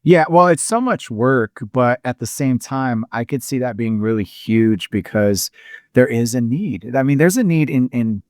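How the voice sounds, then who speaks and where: A man's voice: mid-range voice, animated, a little mellow, dry recording, studio quality. A man hosting a podcast, chatting casually with a guest and stumbling through fillers and restarts. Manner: halting, full of fillers and restarts. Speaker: podcast host. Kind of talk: casual interview chat.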